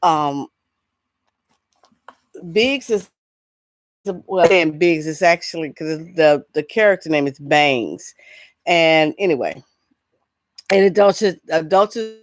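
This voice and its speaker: A woman's voice: low-pitched, no echo. Chatty middle-aged woman hosting, close dry sound. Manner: chatty, loose and rambling, often breaking off into asides. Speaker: host of an entertainment news show. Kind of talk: casual solo vlog chat with announcements.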